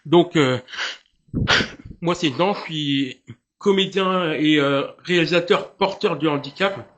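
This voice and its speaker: A man, an actor and director, describing his work as an interview guest, speaking at a measured rate with fillers. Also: fairly high pitch, slight background noise. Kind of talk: casual interview chat.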